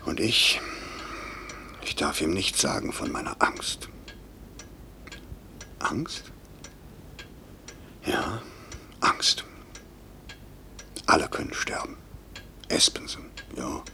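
An actor playing a stern, anguished middle-aged airline director in a 1950s German radio drama, curt and brooding. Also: male voice, very animated, very slow, long pauses, pushed and bright, whispered, full character voice. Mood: anguished, tender. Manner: curt, commanding, brooding, sinking into breathy murmurs. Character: stern, anguished male airline director, guilt-ridden boss. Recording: hissy, rumbling 1950s radio recording.

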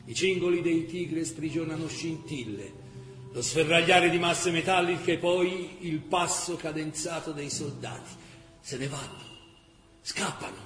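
An actor playing an impassioned chronicler of a wartime city in a radio drama, speaking in a dramatic scripted style. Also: male voice, on the high side, measured pace, fairly bright tone, soft background music. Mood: tense, hushed. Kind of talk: dramatic scripted historical narration. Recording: roomy echo, faint background noise.

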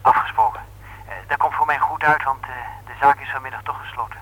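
An actor playing a hesitant, worried brother on the telephone, in halting 1960s radio serial style. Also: male voice, medium pitch, steady tone, sharp tone, rough recording. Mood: puzzled, brisk. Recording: old radio telephone scene, faint noise.

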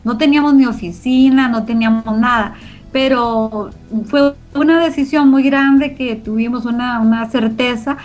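A woman's voice: fairly high pitch, fairly even intonation, normal speed, darkish tone, soft background music. A middle-aged woman, giving reflective, explanatory answers as an interview guest. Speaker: interview guest, organization founder.

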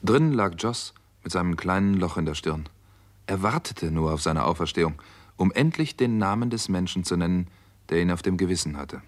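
A low male voice plays a wary, brooding doctor in a sixties German radio thriller. He speaks in a measured, guarded way, deep and serious, as if weighing what he reveals. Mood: brooding, tense. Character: wary, brooding American doctor narrating his own case. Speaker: radio drama actor, lead and narrator. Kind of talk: 1960s German radio crime drama acting.

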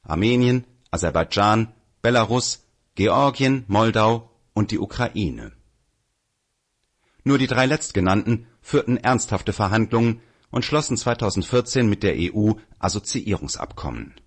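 Husky man reading an article aloud. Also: lowish voice, expressive, measured pace. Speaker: reader of an audio article. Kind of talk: scripted narration of a political article.

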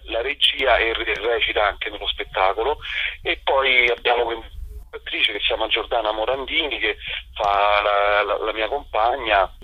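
A man with a slightly husky voice, chatting informally as an interview guest. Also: mid-range voice, no room sound. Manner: chatty, informal, peppered with tags. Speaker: actor and playwright, interview guest. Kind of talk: casual radio interview. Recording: dull lo-fi telephone interview.

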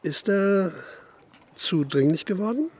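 An actor playing a methodical, insistent police investigator in a German radio crime drama. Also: male voice, sits high, expressive, slow pace, a little mellow, breathy edge, exaggerated voice. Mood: probing. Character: persistent, probing male police investigator narrating his inquiry. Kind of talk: German radio drama acting, interrogation and narration.

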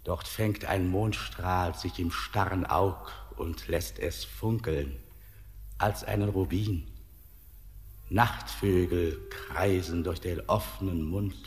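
Classic radio drama: ominous ghostly old man, deepish voice, very drawn-out poetic delivery, cavernous reverb. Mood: solemn, resigned. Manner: solemn, declamatory, drawn-out phrasing. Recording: long hall-like reverb.

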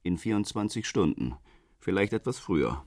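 A man playing a government official in a radio crime drama, politely insinuating threats in a measured way. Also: low voice, animated, darkish tone, no room sound, studio quality. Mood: cool bargaining. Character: smooth, veiledly threatening government official bargaining with prisoner.

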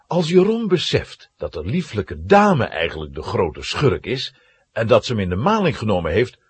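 A man narrating a children's radio play with dramatic, suspenseful, animated storytelling. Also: lowish voice, slightly rough.

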